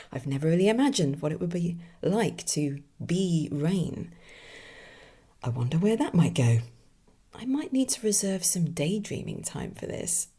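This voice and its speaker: A woman hosting a writing podcast, moving between casual musing and expressive story reading. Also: fairly low pitch, husky. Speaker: podcast host and author.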